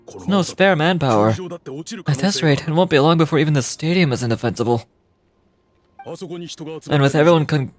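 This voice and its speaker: A woman voicing a battle-worn, earnest male warrior from a fantasy game, speaking in a measured, grave way. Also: low-pitched, voice pushed down, close to an everyday voice. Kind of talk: reading game dialogue aloud in character.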